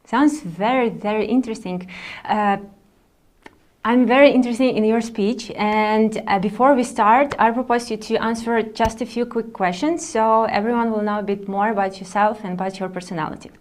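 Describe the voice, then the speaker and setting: A woman's voice: fairly high pitch, steady tone, moderate pace. A woman co-hosting a webinar over a video call, asking short friendly questions with fillers. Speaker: webinar co-host.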